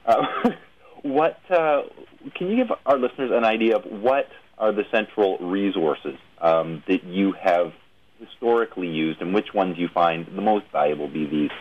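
A man hosting a radio show, asking questions in a casual, halting way and often rephrasing as he goes. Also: low-pitched, lo-fi sound, slight background noise. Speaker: radio show host interviewing a guest. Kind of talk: casual interview.

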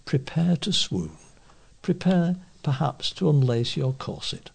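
A British man reading a newspaper review aloud as a volunteer newsreader, with deliberate, expressive phrasing. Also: on the high side, creaky, husky.

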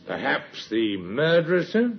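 An actor playing an eager, deferential police detective sergeant in an old radio drama, respectful and a little hesitant. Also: male voice, on the high side, huge pitch swings, a little slow, gravelly, breathy edge, heavily acted. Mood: polite. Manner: respectful, hesitant, frequently says sir. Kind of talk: vintage radio drama acting. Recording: muffled vintage radio recording.

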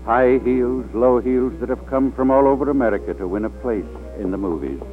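A man's voice: mid-range voice, brisk, natural voice. An actor playing a folksy older hotel man in a 1940s radio drama, on a tinny old recording with music. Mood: wry, musing.

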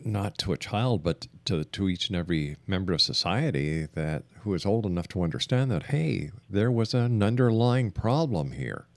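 A man with a fairly low voice hosts a radio interview in a very dry acoustic. He poses questions deliberately, pausing and rephrasing as he works toward his point. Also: animated, a little mellow, no room sound.

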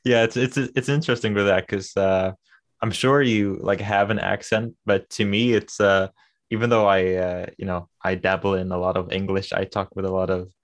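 Young man, Swedish accent, very low voice, flat delivery, casual podcast guest. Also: normal speed. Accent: Swedish, light and Americanized. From Sweden. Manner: many fillers and restarts. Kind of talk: casual chat.

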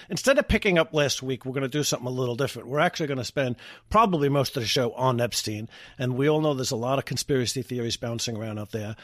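A man's voice: medium pitch, no room sound, clean studio sound. A man hosting a podcast, chatting casually in a rambling storytelling way with plenty of swearing. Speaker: podcast host. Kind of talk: casual chat.

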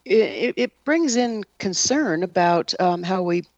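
A woman's voice: medium pitch, lively intonation, unhurried, darkish tone, no echo, lo-fi sound. A woman, hosting a radio interview show in a scripted broadcast style.

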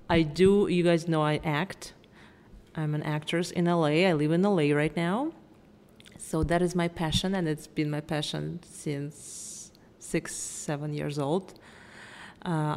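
Russian-accented actress, young woman, lowish voice, bubbly podcast chat, roomy reverb. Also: darkish tone. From Moscow, Russia. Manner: bubbly, laughs a lot. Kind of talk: casual chat.

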